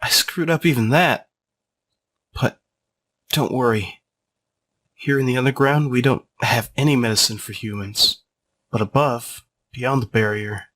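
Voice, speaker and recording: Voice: mid-range voice, a little slow, pauses often, fairly bright tone. An actor playing a moody, guilt-ridden teenage monster prince in an amateur comic dub, hesitant and brooding. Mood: guilty, subdued.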